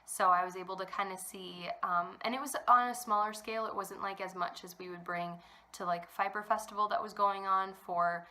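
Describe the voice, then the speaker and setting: A young woman with a bright, clear-toned voice talks casually to her audience, wandering from thought to thought with frequent ums. She sounds slightly stuffed up, still getting over a cold. She is at home. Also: medium pitch, steady tone, moderate pace. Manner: rambling, chatty, many ums. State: congested from a cold. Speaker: knitting podcast host. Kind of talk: casual chat to camera. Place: at home indoors.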